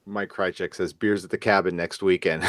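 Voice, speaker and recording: A man's voice: sits low, fairly bright tone, dry recording, clean studio sound. A man hosting a podcast in loose, joking, casual chat and reading out comments. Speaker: podcast host.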